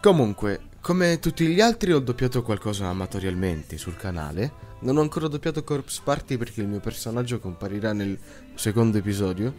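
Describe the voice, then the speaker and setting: A man's voice: lowish voice, expressive, brisk, a little mellow, faint music behind. A man, an amateur voice actor, casually and jokingly greeting channel followers. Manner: jokey.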